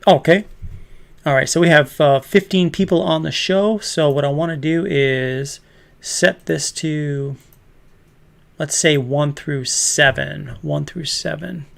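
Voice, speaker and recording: Gravelly fifty-year-old man, fairly high voice. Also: slow. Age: 50s. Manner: rambling, laughs often. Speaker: host. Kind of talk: casual livestream chat.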